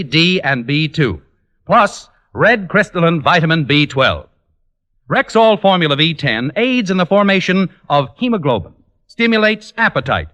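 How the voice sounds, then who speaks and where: An American man reads a mid-century radio commercial as the sponsor's announcer. His voice sits a little high and moves up and down with great animation. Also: bright, forceful tone.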